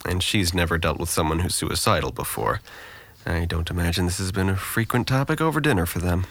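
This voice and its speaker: Man playing sarcastic depressed young man, lively swooping intonation, dryly witty, deflecting, close dry sound, audio drama. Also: low voice, fairly quick, dry recording, full character voice. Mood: detached, weary. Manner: sardonic, deflecting, articulate.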